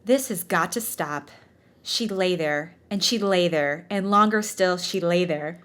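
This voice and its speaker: A woman's voice: on the low side, a little slow, fairly bright tone. A woman podcast co-host reading fan fiction aloud for comedy, with giggly, playfully theatrical delivery and casual banter.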